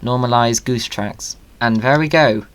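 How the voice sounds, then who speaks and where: A gaming creator talks in a medium-pitched voice. The delivery is playful and excitable, running chattily through commentary as if joking with viewers. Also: measured pace, clear, resonant tone. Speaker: video creator hosting a gaming video.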